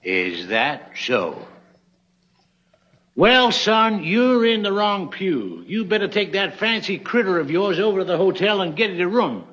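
High-pitched folksy old stable keeper. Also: male voice, big pitch swings, unhurried, a bit bright, exaggerated voice. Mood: gruff, dismissive. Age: older. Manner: folksy, plain-spoken. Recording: muffled vintage TV soundtrack.

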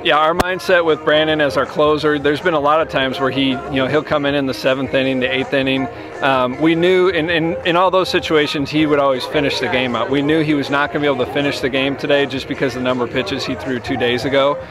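Brief: thirties man, baseball coach, measured postgame answers, music behind him. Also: medium pitch, fairly even intonation. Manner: measured, many you-knows and restarts. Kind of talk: postgame interview. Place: outdoors on a ballfield at night.

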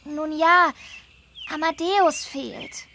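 A woman voicing a friendly, eager teenage girl on holiday in a children's game, earnest and clearly spoken. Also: high-pitched, steady tone, extremely slow, noisy background, exaggerated voice. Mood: friendly, concerned. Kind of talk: scripted children's game dialogue.

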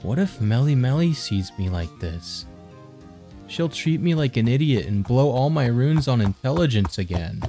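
A man's voice: medium pitch, very animated, normal speed, mellow. A man playing a hapless, earnest young wanderer and failed bandit in a comic dub, hesitant and slangy. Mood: weary, sheepish. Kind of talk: manga comic dub acting. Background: music under the dialogue.

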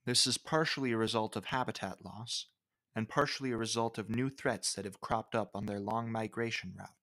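A man's voice: lowish voice, a bit bright. Man narrating a nature explainer. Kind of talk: scripted educational nature narration.

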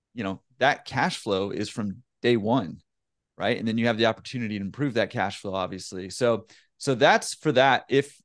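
A man in his thirties, a guest on a video call, answering in a relaxed, conversational way full of "you know" fillers. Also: medium pitch, no room sound, studio quality. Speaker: laundromat owner, guest. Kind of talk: casual interview answer. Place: home room with bookshelf. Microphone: headset with desk mic on video call.

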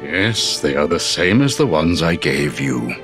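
An older man voicing a solemn, wise elderly wizard master in a video game, speaking formally and in a measured, stately way. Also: deep voice, big pitch swings, over music, heavily acted. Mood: stately, instructive. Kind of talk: animated video game voice acting.

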